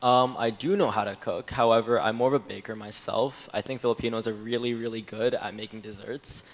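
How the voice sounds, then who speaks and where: A man's voice: on the low side, steady tone, fairly quick. An eighteen-year-old man from New Jersey, a student, talking casually on a panel. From New Jersey, United States. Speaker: panel participant, university student. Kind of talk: casual roundtable talk.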